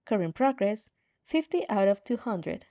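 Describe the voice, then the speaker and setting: A woman's voice: medium pitch, sing-song, measured pace, warm tone, muffled recording. A woman voicing a game-like status system that announces point tallies in a formal, clipped way. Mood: neutral announcing.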